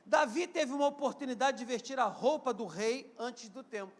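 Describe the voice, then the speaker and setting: High-voiced Brazilian pastor in his fifties. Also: normal speed. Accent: Brazilian, Rio de Janeiro. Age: 50s. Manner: call-and-response preaching, urging the congregation to repeat phrases and say amen; swings between loud emphatic peaks and quieter stretches. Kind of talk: live church sermon.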